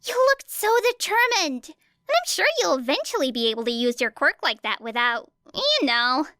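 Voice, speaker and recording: Woman voicing a very high, excitable schoolgirl. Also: normal speed, no echo, studio quality, exaggerated voice. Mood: excited. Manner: exclaiming, enthusiastic. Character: excitable bubbly teenage schoolgirl.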